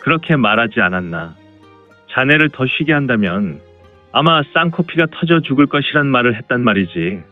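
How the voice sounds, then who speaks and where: A man's voice: medium pitch, big pitch swings, moderate pace, phone-quality sound, close to an everyday voice. A man playing a good-natured, teasing caravan escort chief with bossy, old-fashioned speech in a martial arts audio drama. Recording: dry, band-limited, music underneath.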